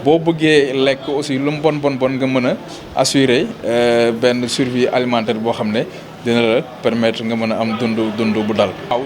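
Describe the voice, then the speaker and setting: With clear crowd noise behind him, a Senegalese man gives an explanatory interview answer in a mid-pitched voice. He speaks haltingly, pausing inside phrases and repeating words as he lays out his points as a local official. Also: little pitch movement.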